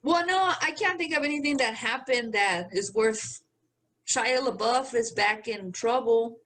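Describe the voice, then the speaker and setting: A woman's voice: on the high side, a little slow, a bit bright. A young adult woman co-hosting a podcast, telling stories in a laid-back way, cussing freely and calling her friend "bro". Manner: profane, laid-back storytelling, says "bro" a lot. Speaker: podcast co-host. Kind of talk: casual chat.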